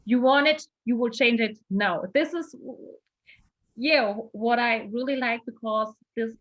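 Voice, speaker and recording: A woman's voice: fairly high pitch, a little slow, no echo, muffled recording. A woman with a German accent, a non-native speaker interviewing casually and searching for her words.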